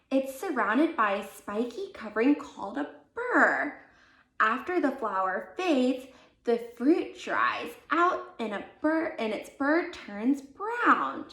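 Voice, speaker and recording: A woman reading a children's picture book aloud as a volunteer, with deliberate, expressive emphasis for young listeners. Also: fairly high pitch. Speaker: volunteer read-aloud reader. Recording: roomy, echoey sound.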